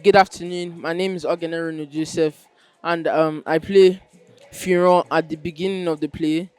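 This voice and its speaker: Young Nigerian man, fairly high voice. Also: steady tone, darkish tone, smooth. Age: teenager or young adult. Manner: halting, explanatory. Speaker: student actor in a school play. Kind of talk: informal interview explanation. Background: music.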